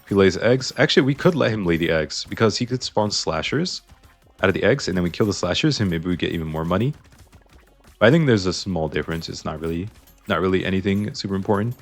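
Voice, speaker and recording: A man's voice: deep voice, lively intonation, fast talker, soft background music. A man casually commenting on a video game as he plays, explaining his choices, his voice a little strained. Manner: chatty, explains choices while playing. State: slightly strained voice. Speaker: gaming YouTuber.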